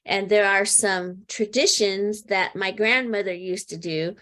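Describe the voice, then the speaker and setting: Middle-aged woman guest, earnest storyteller, halting and filler-heavy, mid-pitched. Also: fairly even intonation, a bit bright. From United States. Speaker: podcast guest. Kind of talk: casual storytelling.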